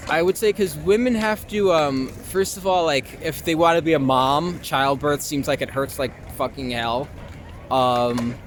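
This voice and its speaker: A man's voice: on the high side, measured pace. A young man from Long Island chatting casually and informally in an outdoor interview in a city park, swearing freely and poking fun at himself. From Long Island, New York. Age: young adult. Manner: informal, self-deprecating, frequent swearing and "like". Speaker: interview guest, performer. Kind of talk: casual street interview chat. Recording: outdoor recording with clear traffic noise.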